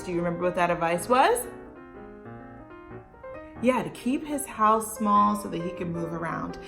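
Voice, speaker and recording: A woman reading a picture book aloud to children expressively, stretching words for emphasis and speaking to young listeners directly. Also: mid-range voice. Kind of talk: expressive read-aloud with chatty asides and questions.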